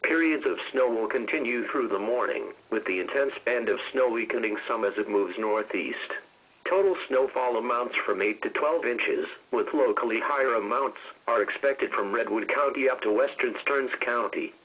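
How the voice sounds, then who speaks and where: A man's voice: medium pitch, moderate pace, fairly bright tone, poor audio. A man with a synthetic-sounding American voice reads a scripted weather radio bulletin in a formal, automated manner.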